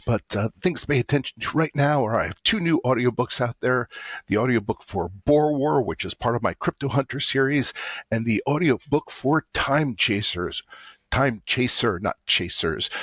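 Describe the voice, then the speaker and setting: A man's voice: medium pitch, a bit bright, husky, dry recording. A man chatting casually as a podcast panelist on a dull, slightly muffled microphone. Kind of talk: casual chat.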